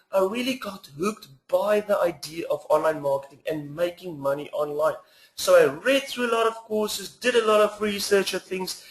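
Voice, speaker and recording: Man, South African accent, 30s, high-pitched, earnest persuasive webinar host, reverberant room. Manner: earnest, persuasive, with filler pauses. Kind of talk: webinar presentation.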